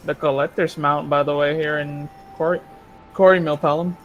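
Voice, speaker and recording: A man's voice: fairly high pitch, level tone, normal speed, dull audio. A man chatting casually with friends as they play an online game. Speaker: gaming friend on voice chat. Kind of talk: casual gameplay chat. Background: game music.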